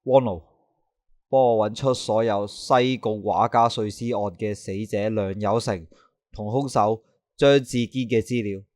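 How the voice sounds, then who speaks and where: A man's voice: on the low side, steady tone, unhurried, a little mellow, dry recording, studio quality, close to an everyday voice. A man with a Hong Kong Cantonese accent playing a proud, obsessive young police superintendent in a theatrical, brooding radio drama style. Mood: commanding. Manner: theatrical, brooding, swinging to outbursts. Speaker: voice actor.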